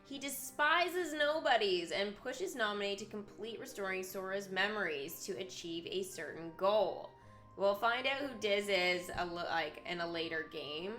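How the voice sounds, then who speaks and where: A woman streamer reading character profiles aloud and breaking into chatty, excitable asides to her viewers. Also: mid-range voice, measured pace, soft background music. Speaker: live streamer.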